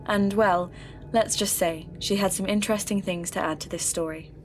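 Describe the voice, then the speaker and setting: An actress playing an inquisitive, considerate podcast host, composed and gently probing in an audio drama. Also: female voice, mid-range voice, fairly even intonation, normal speed, faint music behind, lightly acted. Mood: composed, intrigued. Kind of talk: scripted podcast narration and interview.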